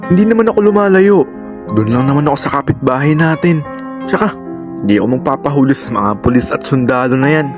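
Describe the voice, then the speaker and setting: A man's voice: mid-range voice, animated, fairly quick, over music, lightly acted. A man with a Filipino accent playing a dismissive, fearless gambling father in an amateur radio drama.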